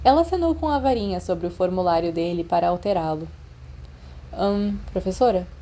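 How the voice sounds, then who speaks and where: A woman with a Brazilian accent reading a story aloud in an expressive audiobook style. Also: lowish voice, quick pace, slight background noise. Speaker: podfic narrator. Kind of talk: expressive audiobook narration of fan fiction.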